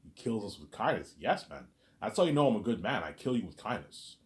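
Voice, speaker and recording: A man talking straight to his audience in a rambling monologue, repeating himself, stumbling over restarts, and letting his asides fade quietly. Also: on the low side, big pitch swings, brisk. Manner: repetitive, stammers on restarts, trails off quietly on asides. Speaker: solo vlogger.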